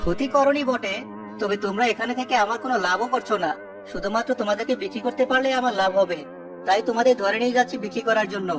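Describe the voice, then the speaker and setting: A woman's voice: fairly high pitch, fast, music in the background, close to an everyday voice. A woman playing a greedy, irritable village man in a children's cartoon. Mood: scheming.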